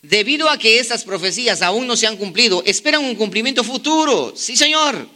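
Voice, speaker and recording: A man preaches with a high, very bright voice that cuts through. He drives his points home with repeated rhetorical questions to the congregation, speeding up and slowing down for emphasis. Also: expressive. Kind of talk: sermon.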